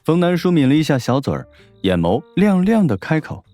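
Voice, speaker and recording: A man's voice: on the high side, big pitch swings, a little fast, mellow, dry recording, faint music behind. A man reading a novel as a dramatic scripted narration. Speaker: audiobook narrator.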